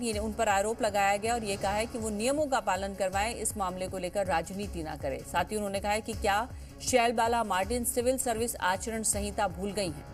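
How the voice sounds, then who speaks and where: A female news anchor reports in a live television style at a middle pitch. She restates each point with emphasis, and faint music plays behind her voice. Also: darkish tone.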